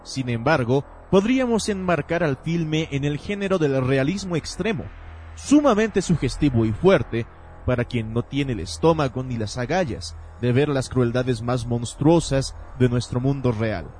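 A man's voice: medium pitch, animated, unhurried, a little mellow, a little hiss or hum. A man reading a scripted film review as a radio presenter. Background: faint music.

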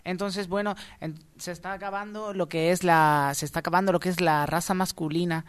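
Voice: mid-range voice, fairly even intonation, brisk, clear, resonant tone, no room sound. A radio co-host in casual comic chat, playful and mock-dramatic while explaining things.

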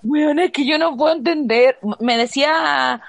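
A woman's voice: high-pitched, unhurried, vocal fry, no echo. A young Chilean woman co-hosting a podcast, chatting casually and ranting expressively in heavy slang with a Chilean accent. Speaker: podcast co-host. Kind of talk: casual chat.

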